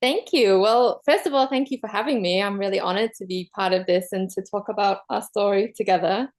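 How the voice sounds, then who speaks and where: A woman from Manchester with a Mancunian accent, a guest openly sharing her love story in a casual chat, pausing often on soft "um"s. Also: medium pitch. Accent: Mancunian English. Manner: open, measured, many soft "um"s.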